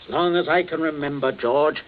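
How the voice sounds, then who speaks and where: A man's voice: fairly high pitch, steady tone, normal speed, slight background noise, lightly acted. An actor playing a stern, domineering middle-aged family man in a 1940s radio drama. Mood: scolding. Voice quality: stern, commanding. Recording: lo-fi old radio broadcast.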